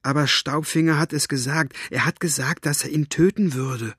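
A man voicing a frantic, stubborn young girl who bursts out loudly and pleads. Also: on the high side, normal speed, husky, no echo, clean studio sound. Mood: insistent. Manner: loud, pleading, breathless outbursts.

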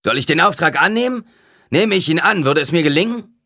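Would-be assassin for an audiobook, acted by a male narrator: man, rough voice, bellowed, pushed up in pitch. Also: expressive, a little fast, sharp tone. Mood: bellowing, demanding.

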